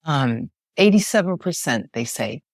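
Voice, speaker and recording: Woman guest with a big loud voice, slightly low, energetic explainer style, dry close mic. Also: measured pace, dry recording. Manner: emphatic, animated, frequent tag question "right?". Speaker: communication specialist guest. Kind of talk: podcast interview, explaining with examples.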